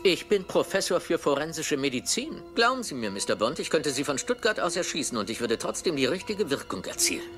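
An actor playing a fussy, self-important assassin doctor, pedantic and politely menacing, in a dubbed film. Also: male voice, fairly high pitch, very animated, brisk, few pauses, a bit bright. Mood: smug, boastful. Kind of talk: German-dubbed film acting. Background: film score music.

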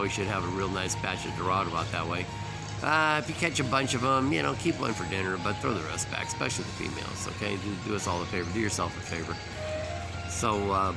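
A man in his seventies giving a casual, unscripted fishing report to camera outdoors, with a folksy, rambling manner that repeats words. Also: fairly low pitch. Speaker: fishing charter operator giving a weekly report. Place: outdoors at a marina storefront.

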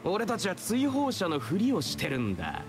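A man's voice: high voice, wildly swooping pitch, moderate pace. A man voicing an arrogant, dismissive thug in an anime-style game, brash, curt and self-justifying. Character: arrogant, dismissive male thug posing as bandit. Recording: game music behind the voice.

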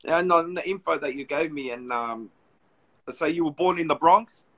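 A man's voice: a little high, normal speed, a bit bright, husky. A man, hosting a casual interview over a phone video call, asking rambling questions with many restarts. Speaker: host. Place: small room indoors. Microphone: phone front camera.

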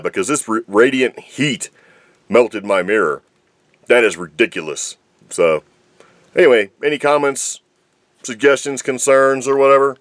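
A man in his forties from New Mexico, talking casually to camera from inside a parked van, excitable and exasperated. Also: medium pitch, no echo. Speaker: vlogger. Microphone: camera mounted in the car.

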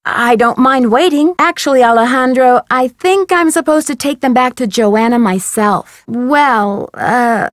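A woman's voice: on the high side, a little slow, hardly any pauses, no room sound, studio quality, lightly acted. An actress playing a polite, inquisitive young female detective in a video game, courteous and articulate as she probes.

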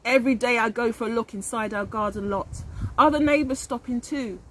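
A middle-aged woman teacher with a fairly high voice tells a children's story. She reads expressively and talks straight to the children. She is recorded outdoors with some background noise. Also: a little fast, fairly bright tone, rough recording. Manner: addresses children directly, asks them questions. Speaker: early years teacher reading to children. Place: outdoors in a leafy garden.

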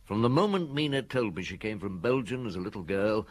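A man playing a weary, shrewd middle-aged police detective chief in a radio drama, grave and measured. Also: lowish voice, lively intonation. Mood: reflective.

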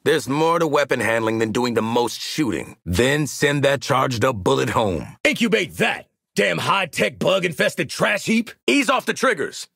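Casting: cocky American marksman for an action game, raspy, forceful, wide swings in pitch, brash and wisecracking. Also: sing-song, measured pace, no echo, clean studio sound, full character voice. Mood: brash, confident. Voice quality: gravelly, raspy. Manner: brash, slangy, confident. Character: tough, cocky, wisecracking male marksman mercenary. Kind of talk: video game combat voice lines.

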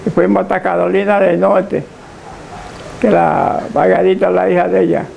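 An elderly man with a Dominican accent and a gravelly voice, slowly recalling his life in a living-room interview, often repeating and restarting his words. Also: high-pitched, flat delivery, darkish tone, slight background noise, rough recording. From Dominican Republic. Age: older. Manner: halting, repeats and restarts words, short assents. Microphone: lapel mic.

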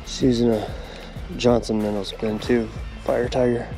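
A young man filming himself fishing on a riverbank with a head-mounted camera, chatting casually and getting excited over catches. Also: medium pitch, moderate pace, rough recording. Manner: excitable, swears when fish strike. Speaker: fishing vlogger. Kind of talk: casual running commentary while fishing. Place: outdoors on a riverbank. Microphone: head-mounted action camera. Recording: music playing under the voice.

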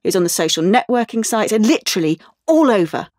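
An actress playing a sensitive teenage art student, confiding haltingly in an audio drama monologue. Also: female voice, mid-range voice, big pitch swings, a little mellow, no echo, clean studio sound. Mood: anguished.

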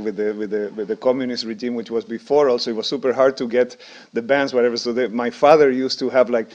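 A man's voice: mid-range voice, darkish tone, lo-fi sound. A man from Chile, a band member, answering interview questions in a backstage room with many fillers and restarts. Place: festival backstage room, indoors.

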